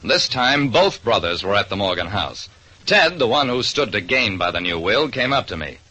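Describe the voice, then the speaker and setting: A man's voice: lowish voice, sing-song, normal speed, sharp tone, heavily acted. An actor playing a wry private detective who narrates his case in 1940s radio drama style. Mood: dramatic narration. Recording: noisy vintage radio recording.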